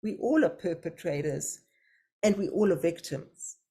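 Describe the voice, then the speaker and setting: Soft-spoken woman facilitating a discussion. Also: on the low side, animated, a little slow, slightly rough. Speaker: facilitator of an online group discussion. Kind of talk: reflective group discussion. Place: video call.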